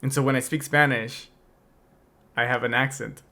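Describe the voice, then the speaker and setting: A man's voice: mid-range voice, steady tone, moderate pace, bright, forceful tone. A young adult man co-hosting a podcast, talking in a casual, reflective way with many restarts. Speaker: podcast co-host. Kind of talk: casual chat.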